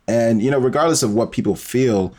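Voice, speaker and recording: A man's voice: medium pitch, brisk, darkish tone. A man in a casual, reflective conversation as a podcast guest, hesitant and searching for his words. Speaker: podcast guest, fiction podcast creator.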